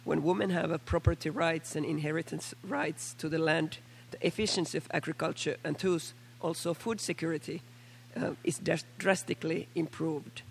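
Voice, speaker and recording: Hoarse finnish lady w a flu, gravelly, monotone, stops and restarts a lot. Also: sits low, a little hiss or hum. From Finland. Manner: halting, with many fillers and restarts. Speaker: member of parliament. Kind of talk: formal floor statement at a panel meeting.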